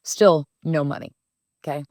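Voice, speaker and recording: A woman's voice: deep voice, moderate pace, no echo, clean studio sound. A woman, hosting a podcast and telling a personal story in a blunt, emphatic, swearing-laced casual style. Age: 50s. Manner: blunt, profane, animated and emphatic. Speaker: podcast host.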